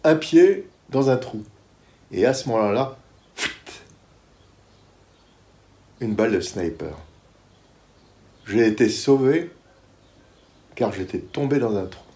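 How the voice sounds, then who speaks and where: A man, a reporter, slowly recounting what happened on a past assignment in a storytelling manner. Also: mid-range voice, expressive, warm tone. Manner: slow storytelling.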